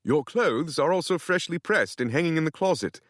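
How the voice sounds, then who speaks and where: A man's voice: fairly high pitch, animated, normal speed, no room sound, clean studio sound, voice pushed up. A man voicing a small, fussy male butler with a British accent and a formal, overly polite manner.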